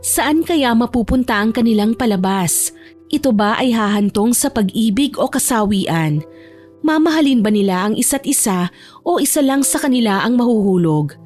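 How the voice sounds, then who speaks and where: A woman's voice: a little high, a little mellow. A woman narrator delivering dramatic scripted storytelling for an audio drama.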